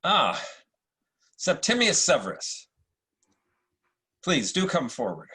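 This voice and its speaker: Man acting husky, supercilious Roman emperor. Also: fairly high pitch, wildly swooping pitch, takes it slowly, bright, forceful tone, voice pushed up, full character voice. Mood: haughty. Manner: stately, condescending. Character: supercilious, world-weary male Roman emperor. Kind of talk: old-movie stage-like acting at a table read.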